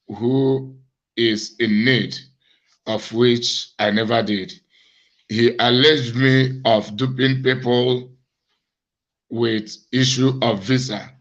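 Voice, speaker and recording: Hoarse man, slow and flat. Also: medium pitch. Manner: slow, deliberate, accusatory. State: hoarse, cracked voice from a cough. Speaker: evangelist and host. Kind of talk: monologue address to viewers. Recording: dull lo-fi recording.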